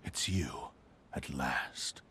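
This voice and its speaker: An actor playing a brooding, menacing possessed swordsman in a video game, speaking in a hushed, ominous way. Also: a bass voice, drawn-out words, whispered, exaggerated voice. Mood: brooding menace. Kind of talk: scripted video game voice acting.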